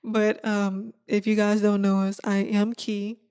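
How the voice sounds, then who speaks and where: A woman in her twenties from Texas, co-hosting a casual podcast chat with plenty of laughter and drawn-out fillers. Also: mid-range voice, flat delivery, a little mellow, smooth. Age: 20s. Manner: laid-back, laughs often, drawn-out fillers. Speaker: podcast co-host.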